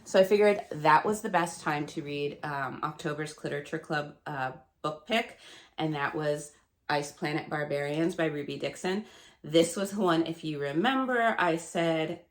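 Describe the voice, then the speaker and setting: Book vlogger, woman, 30s, low voice, gravelly and nasal with a cold, chatty. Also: normal speed. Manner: chatty, rambling, laughs often. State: hoarse and stuffy from a cold. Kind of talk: casual chat to camera.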